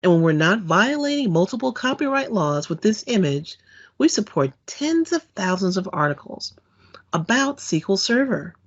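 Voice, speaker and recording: A woman giving a scripted technical presentation in an explanatory, clearly phrased, read-out manner. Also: mid-range voice, sing-song, moderate pace, lo-fi sound. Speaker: presenter, content developer.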